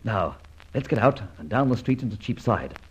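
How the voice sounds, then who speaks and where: Animated suave gentleman rogue. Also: lowish voice, moderate pace, slightly rough, exaggerated voice. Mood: conspiratorial glee. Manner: jaunty, well-spoken, theatrical exclamations. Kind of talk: old radio drama acting. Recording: muffled, hissy old radio recording.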